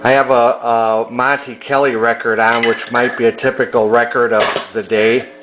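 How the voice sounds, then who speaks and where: An older man giving a casual repair demonstration as a hobbyist, halting as he thinks aloud. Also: mid-range voice, flat delivery, background noise. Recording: background music from vintage radio and turntable.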